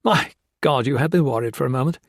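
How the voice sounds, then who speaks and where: A man voicing a defensive, blustering army colonel who snaps sharply and turns to shouting. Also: medium pitch, quick pace, dry recording, clean studio sound, lightly acted.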